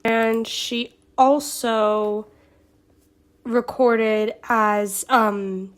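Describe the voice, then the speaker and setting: Female solo host, slightly high voice, recovering from a cold; casual, earnest, chatty delivery. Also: steady tone, drawn-out words. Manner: chatty, earnest, reads facts with small fillers and restarts. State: getting over a cold. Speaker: YouTube host. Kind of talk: casual vlog narration of film history.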